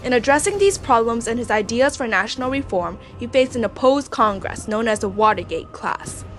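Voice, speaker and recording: Woman, fairly high voice, scripted narration, dry recording, light music. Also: expressive, normal speed.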